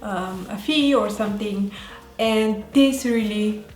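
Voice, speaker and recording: A woman with a Bulgarian accent talking casually as a vlogger, in a halting way that often pauses mid-sentence. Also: mid-range voice, darkish tone. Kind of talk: casual talk to camera. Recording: roomy echo, faint music behind.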